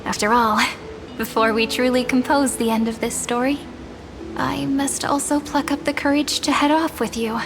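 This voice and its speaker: An actress playing a gentle, wistful young woman in a game cutscene, speaking softly and earnestly. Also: high voice, fairly even intonation, moderate pace, noisy background. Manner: soft, earnest and reflective. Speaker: game character. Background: soft game score.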